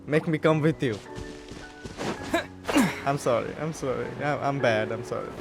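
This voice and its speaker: A game streamer giving casual, joking commentary in a halting way, often repeating words. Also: medium pitch, vocal fry. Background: game music.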